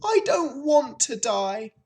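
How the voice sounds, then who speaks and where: A woman's voice: sits high, huge pitch swings, extremely slow, a little mellow, voice pushed up, exaggerated voice. A woman voicing an anxious, childish young male pig from a storybook, wailing and pleading in an emotional way. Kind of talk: storybook character voice.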